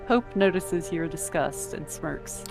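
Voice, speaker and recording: A woman narrates an interactive story in the second person, reading from a script. Her voice sits a little low for a woman, and music plays clearly underneath. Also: lively intonation, slow.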